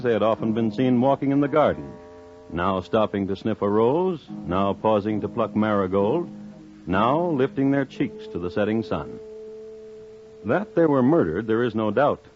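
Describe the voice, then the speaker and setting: An actor narrating a 1950s radio crime drama as a dry-witted, deadpan storyteller with formal, measured phrasing. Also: male voice, lowish voice. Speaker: host and narrator. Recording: dull old radio recording. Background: faint music.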